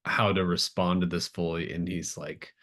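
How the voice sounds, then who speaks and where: A man with a low voice acts as game master. He improvises tabletop narration in a halting, thinking-aloud way. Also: little pitch movement, fairly bright tone. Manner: hesitant, searching for words as he improvises.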